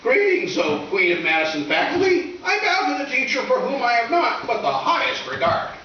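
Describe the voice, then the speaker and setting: A man's voice: very high voice, big pitch swings, normal speed, sharp tone, full character voice. A man playing a mischievous, grandiose teenage schoolboy with flowery, eager delivery in an old-time radio comedy. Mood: grandly cheerful. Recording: echoey stage from far off, dull, hissy.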